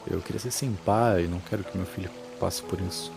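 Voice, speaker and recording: A man playing an earnest adult visitor in an audio drama, halting and subdued in a conversational way. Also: low-pitched, fairly even intonation, gravelly, over music, pitched below the performer's own voice. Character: earnest, hesitant adult man, visiting long-lost half-brother.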